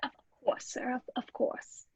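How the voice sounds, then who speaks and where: A woman playing a timid, eager-to-please landlady in a radio play, hesitant and stammering, with a hushed, breathy delivery. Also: unhurried, dry recording, natural voice. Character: timid, eager-to-please married landlady in an old British lodging house. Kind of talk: old-time radio play acting.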